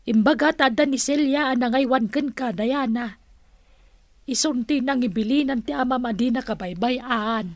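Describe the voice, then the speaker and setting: Strong-minded lady boss, higher-pitched, emphatic, disapproving, close dry radio drama. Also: normal speed, dry recording. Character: strong-minded senyorita managing restaurant staff. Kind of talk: Philippine radio drama acting.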